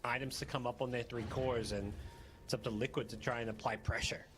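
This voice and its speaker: A man's voice: medium pitch, fairly even intonation, a little fast, a bit bright, slight background noise. A man giving conversational live analysis as co-commentator on an esports match.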